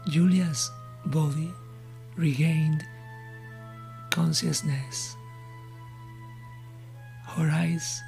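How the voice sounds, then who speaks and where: Female horror narrator: deliberate, ominous, extremely unhurried, with a creaky low edge over soft music. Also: low-quality recording. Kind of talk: scripted horror story narration.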